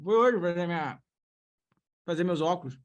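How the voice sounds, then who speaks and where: A man's voice: sits high, moderate pace, lo-fi sound. A man with a Brazilian Portuguese accent, chatting casually as a guest speaker. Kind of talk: casual chat.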